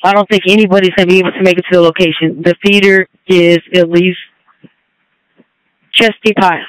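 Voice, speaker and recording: High-pitched male responder on radio. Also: level tone, a little fast, a bit bright, clear, resonant tone, no room sound, low-quality recording. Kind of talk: terse radio report. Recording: fire department two-way radio traffic.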